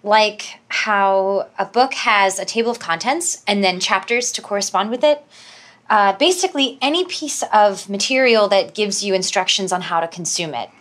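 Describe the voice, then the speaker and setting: A woman's voice: medium pitch, moderate pace, fairly bright tone, lightly acted. A woman playing a polite, earnest researcher in an audio drama, speaking carefully and a little apologetically. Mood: earnest, explaining. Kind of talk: naturalistic audio drama acting.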